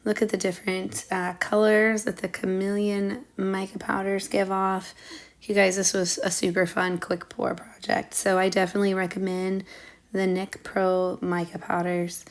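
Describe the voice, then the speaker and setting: A woman's voice: mid-range voice, level tone, normal speed. A woman narrating a casual craft tutorial in a chatty way, her voice a little under the weather. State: under the weather, recovering lost voice. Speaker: craft channel host.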